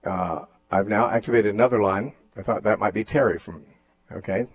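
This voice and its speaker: A man hosting a radio call-in show, teaching on the Bible in a measured, thoughtful way with frequent fillers and restarts. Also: lowish voice, husky, no echo, faint music behind.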